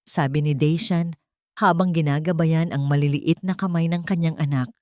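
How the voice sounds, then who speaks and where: A woman reading a dramatic love story as a narrator, in emotive, measured phrases. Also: low voice, steady tone, dark tone, dry recording, clean studio sound.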